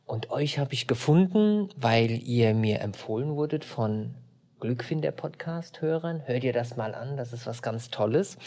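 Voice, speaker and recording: A man's voice: mid-range voice, creaky, warm tone. An enthusiastic male podcast host speaks to his guests with effusive praise. He asks his questions eagerly and keeps things upbeat. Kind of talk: warm interview hosting. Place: castle over a lake.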